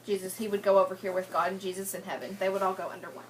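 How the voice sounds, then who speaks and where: A woman's voice: mid-range voice, flat delivery, rapid-fire, a little hiss or hum, poor audio. A woman reading a picture book aloud as a teacher, prompting children with questions and checking they follow.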